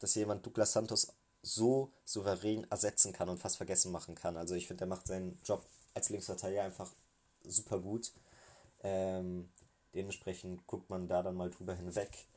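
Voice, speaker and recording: A man with a cold talks through a football match he just watched, his voice low and dark. He speaks in a level, even tone with little melody. He pauses often with filler sounds as he works through his thoughts. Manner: hesitant, many filler sounds, thinking aloud. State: has a cold. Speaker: football fan reviewing a match. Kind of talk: casual spoken match recap.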